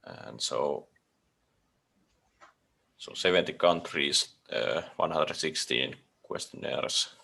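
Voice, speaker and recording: Very deep, gravelly, husky man. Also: slow pace, long pauses, pushed and bright. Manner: tentative, reads from notes. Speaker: student in a group meeting. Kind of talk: casual online meeting. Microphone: video call.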